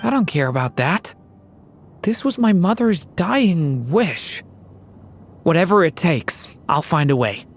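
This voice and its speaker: An earnest young daughter on a quest in a video game. Her voice is on the low side and moves expressively, with sincere resolve. Faint music plays behind her. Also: very animated, slow, exaggerated voice. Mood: determined. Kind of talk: video game cutscene acting.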